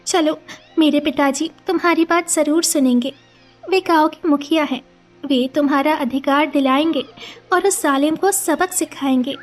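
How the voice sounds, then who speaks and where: Casting: woman for high-pitched young cartoon village woman, loyal, resolute, melodramatic. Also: moderate pace, soft background music, lightly acted. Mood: urging, supportive. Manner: earnest, determined, emphatic. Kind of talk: melodramatic cartoon moral-story acting.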